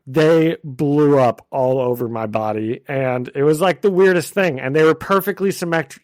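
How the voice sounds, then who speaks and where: A man's voice: a little high, no echo. A man co-hosting a podcast in casual chat, getting over a cold with a slight cough, chatty and laughing often. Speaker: podcast co-host.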